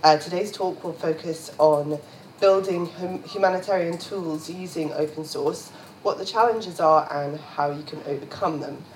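A woman with a lowish voice introduces a guest speaker and runs through housekeeping for the audience. Her polite announcements carry a few hesitations. The recording sounds roomy and echoey, with faint background noise. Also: normal speed, a little mellow. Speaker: event host. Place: lecture room.

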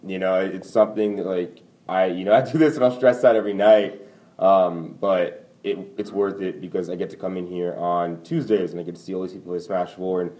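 A young man with a deep baritone voice, talking casually as a podcast guest, rambling with many fillers. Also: fairly even intonation, brisk, mellow, distant mic. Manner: rambling, many fillers and "you know", laughs easily. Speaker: lounge owner, guest.

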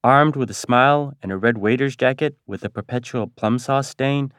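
A man's voice: medium pitch, normal speed, fairly bright tone, clean tone, no echo, clean studio sound. An American man narrating his own memoir as a scripted audiobook. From Detroit, United States. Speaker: author reading own memoir.

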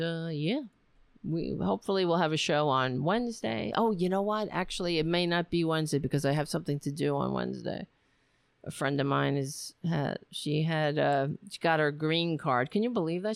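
Tired, hoarse-voiced woman host, slow and gravelly. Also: low-pitched, dry recording. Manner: rambling, tired, talks to her pet. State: losing her voice. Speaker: podcast host. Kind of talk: casual solo sign-off chat.

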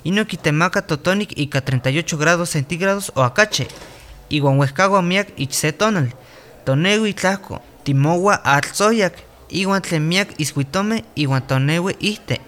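A patient village doctor. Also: male voice, a little high, measured pace. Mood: calm. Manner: explanatory, steady, reassuring. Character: calm male village doctor, patient and instructive. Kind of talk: radio drama acting.

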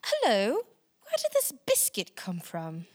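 Swooping, twee British accent. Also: female voice, medium pitch, huge pitch swings, slow pace, a little mellow, heavily acted. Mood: chirpy. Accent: put-on British. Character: office worker putting on cheery twee Englishwoman.

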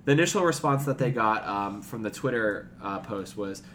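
A male podcast co-host with a fairly low voice speaks in a casual register, chatting or reading items out. There is a little noise behind him on the recording. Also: expressive, normal speed, a bit bright.

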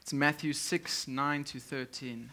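Slow man preaching in short phrases. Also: on the high side, fairly even intonation, noisy background. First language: Afrikaans. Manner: speaks in short phrases, pausing for translation. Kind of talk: sermon with live interpreter.

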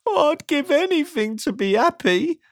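Storybook character: sad, sniffy little man played by a woman, squeaky and high, dry recording. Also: big pitch swings, measured pace, dry recording, exaggerated voice. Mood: miserable. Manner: sniffing, glum.

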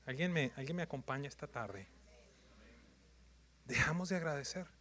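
A man's voice: mid-range voice, animated, normal speed, sharp tone. A man preaching a sermon in numbered points, emphatic and repetitive, often putting questions to his congregation.

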